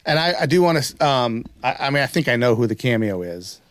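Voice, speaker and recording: A man co-hosting a podcast, chatting informally and enthusiastically in a halting way. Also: medium pitch, sing-song, no echo. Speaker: podcast co-host. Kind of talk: casual fan chat.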